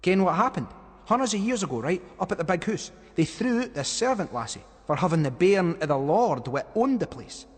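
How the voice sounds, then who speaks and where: A man's voice: sits high, very animated, brisk, breathy edge, long reverb tail, voice pushed up, heavily acted. A Scottish man voicing a teenage boy who eagerly tells a ghost legend in an insistent, suspenseful way, in a storybook reading. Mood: eerie, eager.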